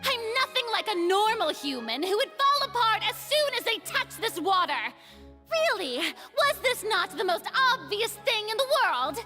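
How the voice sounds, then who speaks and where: Here is a theatrical young woman who insists she is divine. Her voice is very high and lively in pitch, pleading and emphatic, with prominent music behind this game drama. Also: moderate pace. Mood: indignant, insistent. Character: desperate theatrical young woman claiming to be a god. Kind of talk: dramatic video game voice acting.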